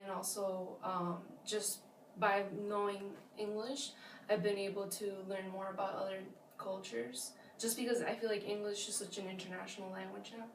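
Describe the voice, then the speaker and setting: A woman's voice: medium pitch, level tone, normal speed. A woman answering casually in an interview. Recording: echoey, distant, faint noise.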